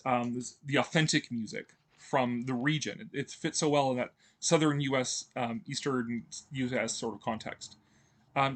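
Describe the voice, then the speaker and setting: A man talking casually as a video host, rambling with many fillers and restarts and chuckling often. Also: fairly high pitch. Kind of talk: casual enthusiastic commentary.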